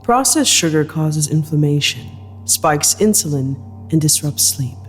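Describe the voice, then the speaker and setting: A woman's voice: low-pitched, animated, voice pushed down. Woman acting cold, controlling female executive over music. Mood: coldly commanding. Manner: clipped, commanding, deliberate. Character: cold controlling wealthy woman executive, possessive and coolly solicitous. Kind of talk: dramatic audio fiction acting.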